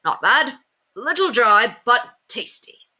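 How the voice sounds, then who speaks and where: A woman's voice: on the high side, sing-song, takes it slowly, sharp tone, pitched above the performer's own voice, full character voice. A woman voicing a proud, confident tiny knight who speaks in formal, pompous declarations. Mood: pleased.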